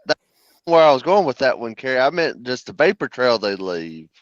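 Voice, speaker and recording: A man's voice: mid-range voice, very animated, normal speed, no echo. A man chatting casually over a dull, lo-fi voice link. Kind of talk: casual chat.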